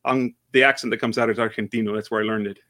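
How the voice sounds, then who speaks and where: A man from Arizona, a guest on a podcast, explaining football in long, steady, analytical sentences. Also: fairly low pitch, fast talker, dry recording. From Arizona, USA. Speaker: podcast guest, sports site manager. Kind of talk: casual interview answers.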